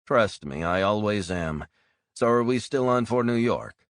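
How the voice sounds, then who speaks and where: A man voicing a calm, confident male hired operative on a phone call. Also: lowish voice, measured pace, clean tone, no room sound, voice pushed up. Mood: assured. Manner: calm, assured.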